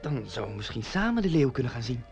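An actor playing an earnest young Parisian suitor on holiday in a radio play, with music behind him. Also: male voice, medium pitch, sing-song, quick pace, gravelly, darkish tone. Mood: tender, hopeful. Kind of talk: radio drama acting.

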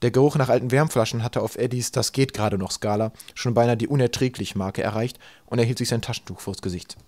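A man narrating a comic children's story from a script, with dry, deadpan storytelling. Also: mid-range voice, fast, no room sound. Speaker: audiobook narrator.

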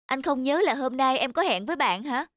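An actress playing a fussy, self-concerned young city wife in a cartoon, with an insistent, complaining manner. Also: female voice, high voice, animated, fairly quick, dry recording, clean studio sound. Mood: annoyed, insistent. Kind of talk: cartoon voice acting. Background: music.

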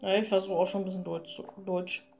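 A woman's voice: medium pitch, little pitch movement, fast, vocal fry, a little mellow. A woman chatting casually as she plays a video game for a let's play. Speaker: gaming let's player. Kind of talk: casual chat during gameplay. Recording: guitar music under the voice. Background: guitar music.

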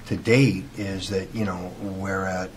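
A man with a low voice argues his points as a member of a town board. The discussion is informal, picked up by room microphones with clear background noise. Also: fairly even intonation, takes it slowly. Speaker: planning board member and property developer. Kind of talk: informal debate in a public meeting. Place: indoor municipal meeting room. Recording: noisy meeting room microphone pickup.

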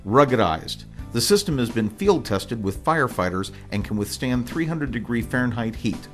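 An American man reading scripted promotional narration in a formal, measured, informational manner. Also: medium pitch, very animated. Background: music bed.

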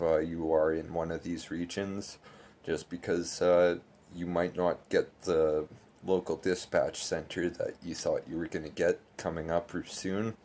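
A man's voice: low-pitched, a little mellow, slight background noise. A man in his thirties giving casual, critical political commentary to camera from a small bedroom, talking loosely with lots of fillers. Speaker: political vlogger.

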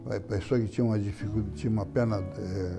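An older man answering interview questions in a halting way, often repeating his words and chuckling. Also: on the low side, fairly even intonation, creaky, music in the background. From Brazil. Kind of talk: reminiscing interview talk. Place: indoor room beside an altar.

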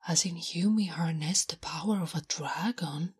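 A woman voicing a big, blunt, smitten and naive female orc in whispered, halting broken English for an ASMR roleplay. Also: fairly low pitch. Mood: curious, hesitant. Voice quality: breathy, whispery. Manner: halting broken English, speaks of herself in third person. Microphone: close-up ASMR microphone. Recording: close, intimate microphone.

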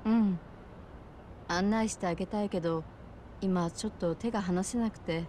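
An actress playing a friendly, helpful bar owner with a casual, considerate manner in a video game. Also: female voice, mid-range voice, moderate pace, warm tone, slight background noise, close to an everyday voice. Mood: helpful, concerned.

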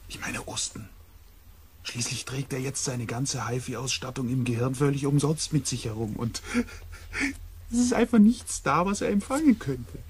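A man's voice: mid-range voice, animated, a little slow, a little hiss or hum, lightly acted. A man playing a chatty, wry expedition scientist in a science fiction radio play, talking casually. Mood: amused, musing. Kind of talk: conversational radio play acting.

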